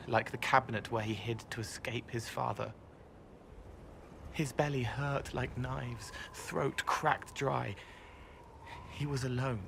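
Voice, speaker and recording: A man playing a gentle, otherworldly young man in a video game, speaking in a halting, wondering, poetic way. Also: medium pitch, creaky, background noise. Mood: sorrowful. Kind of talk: video game character dialogue.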